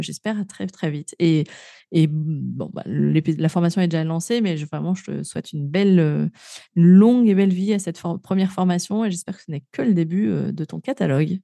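Woman from France, podcast host; dark gravelly tone, chatty with lots of euh and restarts. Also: on the low side, fairly quick, no echo, studio quality. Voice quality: frequent fillers and restarts, laughs easily. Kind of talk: casual interview, then outro to listeners.

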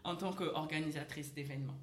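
A woman's voice: low voice, fairly even intonation, fairly quick. A woman from the Republic of the Congo, chatting casually and teasingly as a co-host. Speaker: podcast co-host. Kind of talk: casual group chat. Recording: echoey room.

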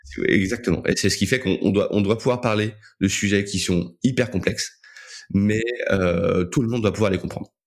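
A man's voice: fairly low pitch, normal speed. A young man telling his story casually as an interview guest, hesitant and often repeating words. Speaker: interview guest, co-founder of a digital media outlet.

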